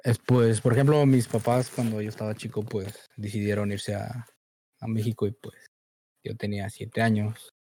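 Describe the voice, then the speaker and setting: A man in his early twenties with a Mexican Spanish accent, born in the United States and raised in Mexico, chatting as a guest in a relaxed, hesitant, slangy way with lots of fillers. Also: on the low side, moderate pace, dry recording. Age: 20s. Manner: laid-back, hesitant, slangy, many fillers. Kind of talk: casual chat.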